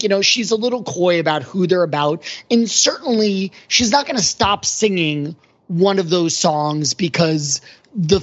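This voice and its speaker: A man's voice: sits high, dull audio. A man speaking as a podcast panelist, casually explaining pop culture and checking in with "like" and "right?".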